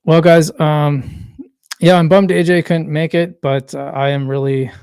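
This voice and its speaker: A man hosting a casual solo livestream, rambling and correcting himself as he reads chat comments, his voice worn and going hoarse. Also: fairly high pitch, steady tone, a little slow, a little mellow, dry recording, clean studio sound. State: losing his voice. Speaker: livestream host.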